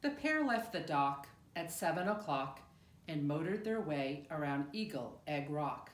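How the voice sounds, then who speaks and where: Female storybook reader, lowish voice, very unhurried and expressive, in an echoey room. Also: slight background noise. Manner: expressive, measured storytelling with deliberate pauses. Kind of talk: read-aloud of a rhyming children's picture book. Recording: roomy, echoing room sound.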